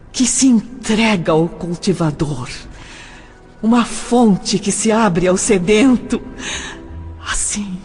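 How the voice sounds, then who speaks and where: An actress playing an emotive young woman recalling love and betrayal in a dramatised audio novel, with measured, theatrical delivery. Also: mid-range voice, a little mellow. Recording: long reverb, music behind.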